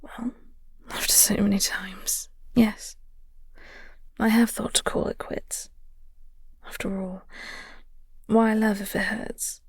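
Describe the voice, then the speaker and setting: A woman playing a flirty, tender vampire in an intimate audio roleplay, breathy and half-whispered, with a halting, teasing delivery. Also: medium pitch, flat delivery, no echo, exaggerated voice. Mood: wistful, reflective. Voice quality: breathy, husky. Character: flirty, gentle vampire nemesis comforting a heartbroken human.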